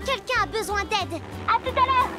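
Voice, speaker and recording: A woman's voice: very high voice, animated, moderate pace. A woman playing a bold, cheerful young girl pirate captain in a children's cartoon. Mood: eager, commanding. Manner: bold, commanding, spirited. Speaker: lead character. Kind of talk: French children's cartoon acting. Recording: music behind the voice.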